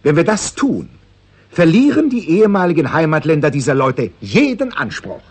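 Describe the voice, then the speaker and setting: A man's voice: on the high side, very animated, darkish tone, breathy edge. An actor playing a sly, self-satisfied male intelligence chief in a 1960s German radio play, wry and teasing. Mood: calm, confident. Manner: wry, teasing, deliberately drawn-out.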